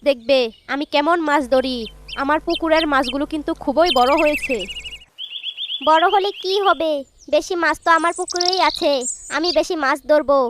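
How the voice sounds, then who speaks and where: A village wife voiced by a woman speaks in a very high voice, with clear noise and chirping birds behind her. She is a cartoon figure, competitive and affectionate within her household. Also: moderate pace, lightly acted. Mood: boastful, competitive. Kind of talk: Bangla cartoon voice acting.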